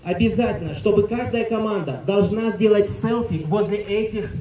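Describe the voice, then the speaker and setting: Male event host, high voice, upbeat crowd address, heard from a distance amid chatter. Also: moderate pace, dark tone. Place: outdoor team-building event at a resort. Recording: echoey, distant mic, crowd noise.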